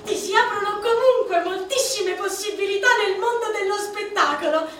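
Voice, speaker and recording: A woman playing a struggling young actress in a live one-woman stage monologue, self-mocking, with quick punchlines and bits of song. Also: extremely high pitch, a bit bright, heavy reverb, recorded from a distance, slight background noise. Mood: wry. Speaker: stage performer.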